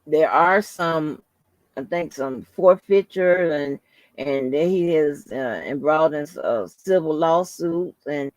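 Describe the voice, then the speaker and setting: A woman hosting a casual livestream, rambling informally to her viewers in a soft, calming voice. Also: low-pitched, takes it slowly, no room sound. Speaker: livestream host.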